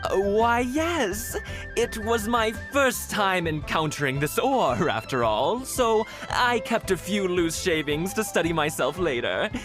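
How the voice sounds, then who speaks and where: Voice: mid-range voice, lively intonation, a little slow, lightly acted. A voice actor playing a polite, hesitant male shopkeeper in a game who speaks with deference. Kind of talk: game voice acting. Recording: studio voice over game music.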